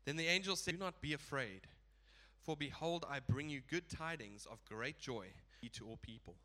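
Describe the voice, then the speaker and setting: Male preacher with a head cold, nasal and bright, chatty with laughing asides. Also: on the high side, expressive, normal speed. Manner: casual, chuckling asides. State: stuffy blocked nose. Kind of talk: sermon.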